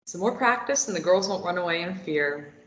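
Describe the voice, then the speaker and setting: A woman's voice: on the low side, animated, fairly quick, close to an everyday voice. A woman reading a sardonic, probing young captive at a play table read, teasing and wry. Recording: echoey room, muffled lo-fi.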